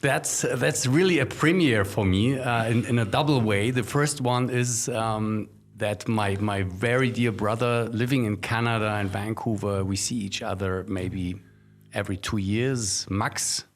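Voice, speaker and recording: A man's voice: on the low side, unhurried. A man from Germany hosting a podcast in English with a German accent. He is hesitant and uses many ums and restarts. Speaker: podcast host. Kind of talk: casual conversational interview.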